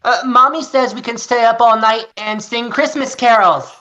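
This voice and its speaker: A woman acting as an eager young kid in a family radio drama. The voice is bright and chirpy, with slightly muffled, lo-fi call audio. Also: a little high, moderate pace. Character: eager young child calling to father. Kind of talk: live radio play reading.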